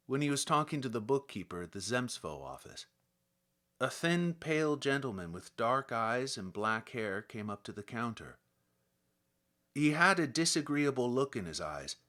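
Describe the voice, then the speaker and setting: Male narrator for classic fiction; unhurried delivery, widely varied intonation, dramatic and measured. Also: mid-range voice, fairly bright tone. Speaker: audiobook narrator. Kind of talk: literary scripted narration.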